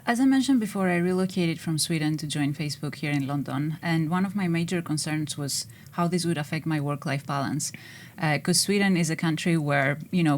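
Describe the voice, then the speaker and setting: Woman, low voice, thoughtful. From Greece. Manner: thoughtful, with frequent hesitations. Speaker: engineering manager. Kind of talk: panel discussion answers.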